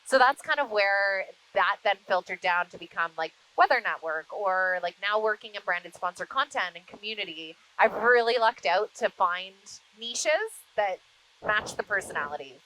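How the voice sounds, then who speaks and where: A woman who works in broadcasting, answering interview questions conversationally in an animated storytelling way. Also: medium pitch, moderate pace, slight background noise, poor audio. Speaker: interview guest, media broadcaster.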